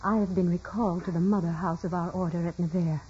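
A cold, accusing nun in an old radio play. Her voice is very husky and mellow, with severe, formal delivery on a dull vintage recording. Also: fairly low pitch, steady tone, fast talker. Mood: cool, formal. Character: stern, skeptical nun, former schoolteacher, coldly accusing. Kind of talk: 1940s radio drama acting.

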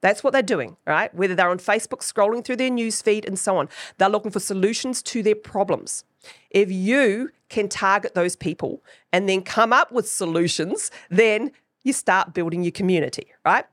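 A woman's voice: mid-range voice, moderate pace, a bit bright, no echo, studio quality. A woman with a New Zealand accent hosting her own podcast, teaching in a chatty way and often checking in with "right?". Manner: chatty, keeps asking "right?". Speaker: podcast host.

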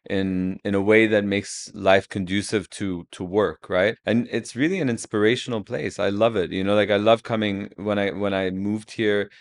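A man in his thirties who grew up in Saudi Arabia, talking as a guest in a casual interview, halting with many restarts and fillers. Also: on the low side, fairly even intonation. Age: 30s. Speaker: guest, agency founder.

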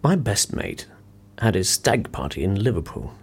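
A blunt, grumbling office colleague in an audio drama. The voice is very raspy and low, yet moves up and down expressively. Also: male voice, very animated, normal speed, exaggerated voice. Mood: weary, reflective. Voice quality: husky, rough. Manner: dry, blunt. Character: dry, grumbling office colleague telling anecdotes. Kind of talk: naturalistic audio drama dialogue.

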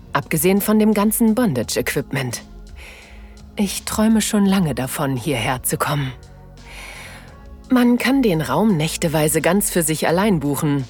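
A sensual, playful wife tells her story in an intimate audio drama , performed by a woman. Her voice is on the low side and very unhurried, breathy and often sinking toward a whisper. Soft music plays behind her. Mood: dreamy anticipation. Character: excited married woman, enamoured, sensual and playful. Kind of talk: intimate first-person German audio drama narration.

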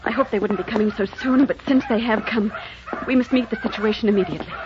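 A woman's voice: fairly high pitch, fast, close to an everyday voice. An actress playing an earnest, secretive young woman in love in a melodramatic 1940s radio drama. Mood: urgent. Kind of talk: 1940s romantic radio acting. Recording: muffled old radio recording, noisy.

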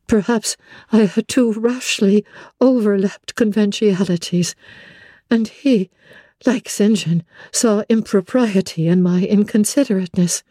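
A woman narrating classic literature in a measured, expressive storytelling manner. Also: medium pitch, no echo, studio quality.

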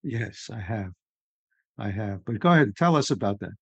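A man's voice: lowish voice, expressive, moderate pace, vocal fry, slightly rough, no room sound, muffled recording. A man hosting a podcast interview, talking in an easygoing, encouraging way. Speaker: podcast host. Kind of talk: casual interview chat.

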